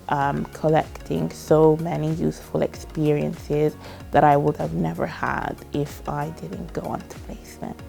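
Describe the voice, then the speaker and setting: A woman's voice: low-pitched, gravelly, warm tone. A young woman born in Italy, an architecture student, giving a composed, formal testimonial. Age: young adult. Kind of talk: interview testimonial. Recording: music bed under voice.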